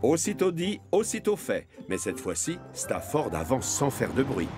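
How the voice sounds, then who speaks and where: A man's voice: medium pitch, huge pitch swings, normal speed, music in the background. A man narrating a children's cartoon as a scripted storyteller.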